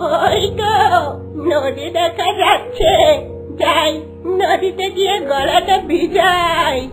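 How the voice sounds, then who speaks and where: A woman voicing a curious young male prince in a cartoon, talking in a lively way. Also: extremely high pitch, takes it slowly. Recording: music under the voice.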